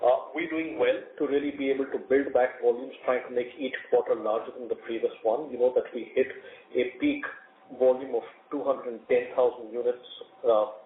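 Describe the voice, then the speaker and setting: A man with an Indian accent, a company finance executive giving a formal, measured earnings briefing over a conference call. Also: mid-range voice, fairly even intonation. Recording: roomy, noisy narrowband conference phone line.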